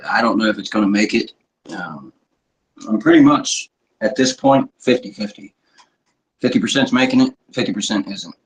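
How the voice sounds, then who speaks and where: A middle-aged man with a sore throat chatting casually to viewers, clearing his throat often and swearing offhand. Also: on the low side, poor audio. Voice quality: husky. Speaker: cannabis vlogger. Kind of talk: casual rambling chat to viewers.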